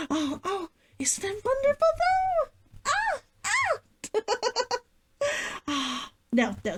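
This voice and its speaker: High-pitched, animated woman streamer. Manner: giddy, giggly, gushing and repetitive while chatting. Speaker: vtuber and author hosting a read-along. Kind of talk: excited chat to viewers, then story reading.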